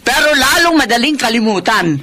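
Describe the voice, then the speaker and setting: A woman's voice: on the high side, animated, brisk, bright, forceful tone. An actress playing a domineering, money-minded mother who scolds and insists in a Filipino radio drama. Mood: stern, demanding. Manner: scolding, insistent, sharply emphatic. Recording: noisy radio drama recording.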